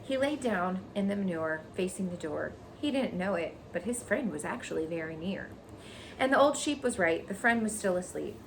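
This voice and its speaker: Casting: female teacher reading to children, lively mid-range voice, informal explanations, audible noise behind. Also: medium pitch, moderate pace. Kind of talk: expressive children's story reading with casual explanations. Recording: noticeable background noise.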